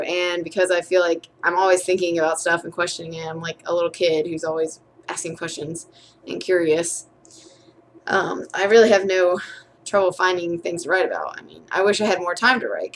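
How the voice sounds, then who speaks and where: Chatty young woman, slightly low voice. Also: fairly bright tone. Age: young adult. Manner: chatty and candid, with frequent fillers and self-corrections. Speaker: college student. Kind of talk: casual video diary reflection.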